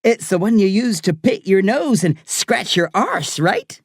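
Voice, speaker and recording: A man voicing a kindly, cheeky Irish woman doctor in her late fifties for an audiobook, brisk and playful. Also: mid-range voice, expressive, no room sound, clean studio sound, voice pushed up. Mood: playful, encouraging. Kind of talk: audiobook character voice.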